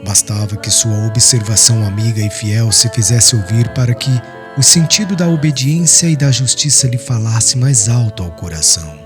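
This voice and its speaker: A man with a Brazilian accent reads literary narration solemnly, as an audiobook narrator, over music. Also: medium pitch. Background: soft music.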